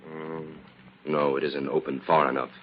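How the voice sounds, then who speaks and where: An actor playing a confident, cool-headed investigator in an old-time radio crime drama. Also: male voice, a bass voice, little pitch movement, normal speed. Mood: calm, decisive. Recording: dull, noisy old radio recording.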